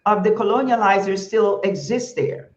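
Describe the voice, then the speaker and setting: Female talk show host, mid-pitched, emphatic and repetitive for stress, echoey muffled call sound. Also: normal speed. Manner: emphatic, repeats points for stress. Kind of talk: panel talk show discussion.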